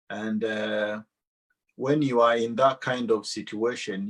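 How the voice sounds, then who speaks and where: A man with a Rwandan accent shares a personal testimony to a church audience, speaking deliberately and halting with long pauses. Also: lowish voice, fairly bright tone.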